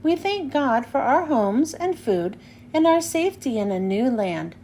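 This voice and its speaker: A woman's voice: sits high, big pitch swings, a little slow, dark tone, slight background noise, exaggerated voice. A woman voicing an earnest, helpful young boy in a children's storybook read-aloud. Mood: solemn.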